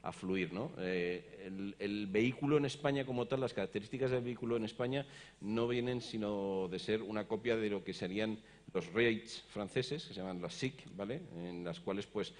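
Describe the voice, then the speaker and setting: A man's voice: fairly low pitch, normal speed. A middle-aged man moderating a conference panel, explaining background in a hesitant way, with many repeated words and fillers. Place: conference stage, seated in an armchair.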